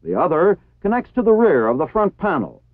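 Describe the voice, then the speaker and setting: An American man narrates a vintage training film in a formal, precise voice. His pitch sits high and moves animatedly. The sound is dry and thin, like an old phone-band soundtrack. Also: wildly swooping pitch, brisk, dry recording, phone-quality sound. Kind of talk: formal scripted instructional narration.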